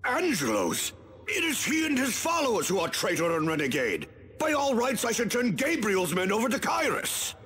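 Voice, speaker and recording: A man's voice: high-pitched, huge pitch swings, moderate pace, a bit bright, exaggerated voice. A man playing a righteous, hot-headed Space Marine captain in a video game, indignant and declamatory. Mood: indignant anger. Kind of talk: dramatic video game cutscene acting.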